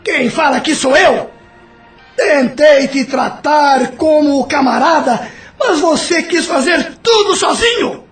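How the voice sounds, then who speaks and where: A man playing a bossy, mocking dark spirit master in an audio drama, scolding in slangy speech. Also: very high-pitched, slow pace, faint music behind, exaggerated voice. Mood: furious.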